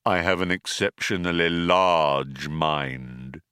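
Storybook robot male reader: depressed, low dragging drone, self-pitying, dry sound. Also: clean tone, no room sound, heavily acted. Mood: hopeless, depressed. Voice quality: low, droning. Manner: slow, dejected, flat delivery.

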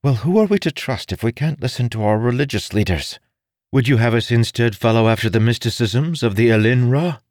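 Male reader as fantasy noblewoman, curt, sharp, commanding, raised pitch, lively intonation, audiobook. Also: a little fast, no echo, studio quality, natural voice. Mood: matter-of-fact. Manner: curt and imperious.

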